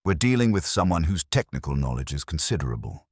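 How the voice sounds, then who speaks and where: A man's voice: very deep voice, sing-song, fast, no echo, studio quality, heavily acted. A man voicing a diligent, earnest police sergeant who reports in a methodical, formal way within a detective story. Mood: earnest, satisfied.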